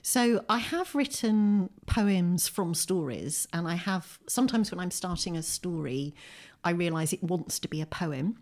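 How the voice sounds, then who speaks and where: A woman's voice: on the low side, expressive, a little slow, darkish tone. A middle-aged British woman talking conversationally as an interview guest, reflective and wry, with self-deprecating humour. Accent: British English. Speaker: poet and short story writer, podcast guest. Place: at home, indoors.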